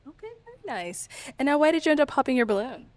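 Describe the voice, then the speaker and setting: Upbeat higher-voiced woman host. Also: expressive, fast talker, a little mellow, no echo. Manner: upbeat, laughs often. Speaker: dating show host. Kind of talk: hosting a dating show.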